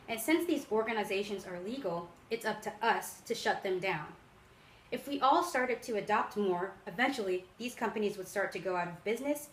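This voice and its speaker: A young woman delivering a prepared persuasive speech as a student public speaker, in an earnest, rehearsed way. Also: medium pitch. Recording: roomy echo, faint background noise.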